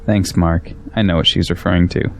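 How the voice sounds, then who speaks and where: A man's voice: sits low, fairly even intonation, a little fast, creaky, slight background noise. A young man playing a pragmatic, defensive arguer in an audio drama, with an argumentative delivery. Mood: challenging. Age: young adult.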